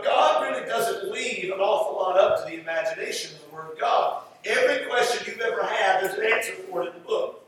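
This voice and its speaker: A man preaching a conversational Bible-teaching sermon, halting at times and repeating phrases for emphasis. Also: high-pitched. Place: echoey church room. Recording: roomy echo, distant mic, faint noise.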